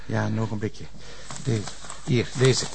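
An actor with a Flemish accent playing a helpful, methodical police assistant in a naturalistic TV crime drama. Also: male voice, lowish voice, steady tone, a little slow, vocal fry, slight background noise. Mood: matter-of-fact.

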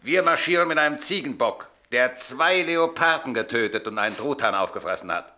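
A gruff Roman soldier in a radio play. The voice is medium in pitch with a hard, bright edge. He is scornful and sardonic, barking bluntly. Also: expressive, a little fast. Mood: dry, sardonic. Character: gruff, scornful Roman centurion on guard duty. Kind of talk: classic German radio drama acting.

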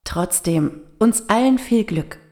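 A woman's voice: medium pitch, lively intonation, takes it slowly, darkish tone. A woman playing a chatty, earnest, slightly awkward activist applying for a grant in a satirical radio play. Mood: quibbling.

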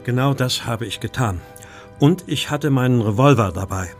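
An actor playing an anxious, well-bred Victorian art dealer in a radio play, telling his story in a formal, measured way. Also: mid-range voice, faint music behind, natural voice. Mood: resigned.

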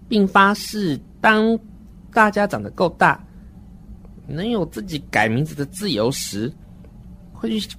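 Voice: mid-range voice, a little hiss or hum. An adult performer voicing a naive, uneasy, wordy seven-year-old child who narrates a horror radio drama in an earnest, dramatic way. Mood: earnest, indignant.

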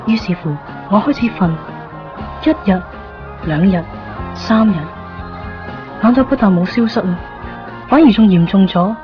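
A woman's voice: medium pitch, expressive, unhurried, pauses often, close to an everyday voice. Woman playing a lovesick young woman. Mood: wistful. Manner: intimate, wistful inner monologue. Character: young woman secretly watching the man she loves. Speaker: lead character and narrator. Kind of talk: 1990s radio drama acting. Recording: dull lo-fi radio play with music.

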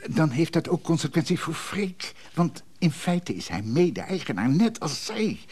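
An actor playing an evasive, indecisive, troubled man in a radio drama, speaking haltingly with a husky voice. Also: on the high side, big pitch swings, darkish tone, full character voice. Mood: uneasy, evasive. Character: evasive, indecisive, troubled man caught between two women. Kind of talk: radio drama acting, dialogue.

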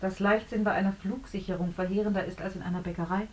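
A woman's voice: mid-range voice, fairly even intonation, fast talker, close to an everyday voice. An actress in a German radio drama playing a reflective woman questioning others around a dinner table.

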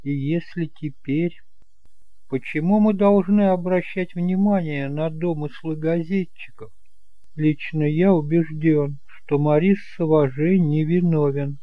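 Мужчина играет искреннего, решительного и вежливого молодого человека в аудиоспектакле. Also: male voice, fairly high pitch, steady tone, a little slow, warm tone, no room sound, voice pushed up, close to an everyday voice, low-quality recording. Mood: confident, polite. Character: earnest, determined young man, reassuring nephew and heir. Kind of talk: audiobook drama acting.

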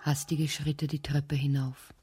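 A woman playing the grave narrator of a radio drama, measured and detached. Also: low-pitched, flat delivery, dark tone. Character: grave female narrator of a violent urban radio play. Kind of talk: scripted present-tense narration. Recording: clear background noise.

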